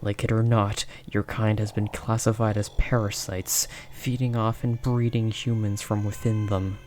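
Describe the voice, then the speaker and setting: Male actor as cold scientist interrogator in horror audio drama: formal, curt, lowish voice, very even intonation, faint music underneath. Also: moderate pace, natural voice. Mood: stern, accusing. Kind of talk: audio horror roleplay acting.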